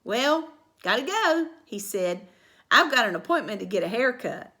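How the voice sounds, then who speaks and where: A woman's voice: a little high, big pitch swings, normal speed, bright, forceful tone. A woman reading a storybook aloud, voicing a mild, dry-spoken grown-up dad.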